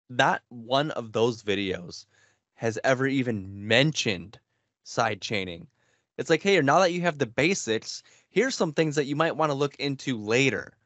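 A man's voice: mid-range voice, fairly bright tone, no room sound. A young man chatting casually as a podcast co-host, in an everyday conversational way. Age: young adult. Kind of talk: casual chat. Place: small home room, indoors.

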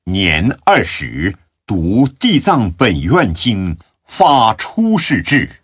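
Deep, very slow male narrator, husky. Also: wildly swooping pitch, fairly bright tone, no echo, clean studio sound. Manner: measured, dramatic recitation. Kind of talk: solemn scripted narration of classical religious biography.

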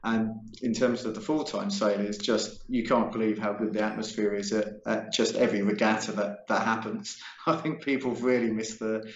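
A man's voice: on the low side, fairly even intonation, normal speed, few pauses. An older man co-hosting a casual sailing chat over a video call, chatty and upbeat. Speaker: show co-host. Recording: roomy, dull video-call audio.

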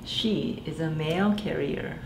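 A woman in her forties, a children's librarian, reading a picture book aloud in a gentle sing-song way and asking the children to guess. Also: low voice, takes it slowly, gravelly, reverberant, noisy background. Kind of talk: storytime read-aloud for young children. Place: small library room indoors.

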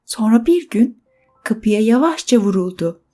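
A woman narrating a scripted children's bedtime story expressively, with dramatic pauses. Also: fairly high pitch, expressive, warm tone.

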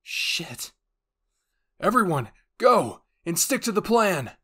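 A stern military commander from an anime tale, acted by a man, barking urgent orders. The voice is raised and pushed high. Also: very animated, slow, fairly bright tone, breathy edge, exaggerated voice. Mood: urgent, commanding.

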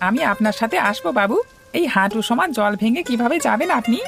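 A woman's voice: on the high side, animated, fast talker, vocal fry, soft background music. A woman voicing a loyal, chatty male servant in a village cartoon, deferential and folksy. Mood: helpful. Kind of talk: cartoon dialogue.

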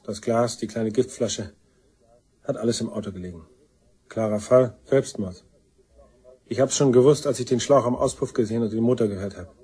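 An actor playing a bitter, spiteful man in a German crime radio play, speaking in clipped colloquial phrases with a very husky, rough voice. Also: medium pitch, dark tone. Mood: terse.

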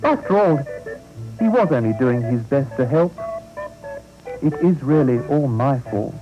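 A man's voice: mid-range voice, expressive, unhurried, muffled recording, over music, pitched above the performer's own voice, close to an everyday voice. A man voicing a cheerful, wise little male creature with a chirpy manner.

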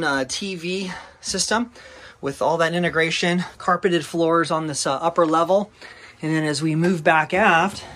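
A man's voice: on the high side, a bit bright. A man giving a casual walkthrough tour inside a yacht, listing its features with frequent "uh" fillers. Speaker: yacht broker. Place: inside a yacht cabin, small wooden rooms.

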